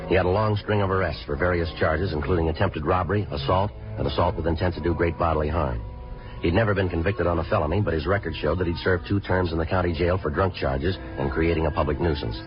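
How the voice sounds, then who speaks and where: A muffled vintage radio recording with music carries an actor as a very deep-voiced American police sergeant. He reports in a brisk, businesslike deadpan, wasting no words, as the narrator of a crime drama. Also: natural voice. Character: terse, matter-of-fact male police homicide detective sergeant. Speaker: narrator and lead detective.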